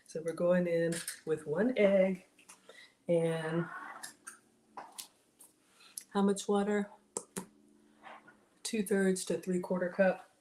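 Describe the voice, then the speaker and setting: A middle-aged woman with a slightly raspy voice, chatting casually to viewers while she cooks in her home kitchen. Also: fairly low pitch, fairly even intonation, drawn-out words, pauses often, dark tone. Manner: chatty, talks to viewers as y'all. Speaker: cooking livestream host. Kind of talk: casual live cooking chat with viewers. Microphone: fixed camera at counter. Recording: echoey kitchen with clear background noise.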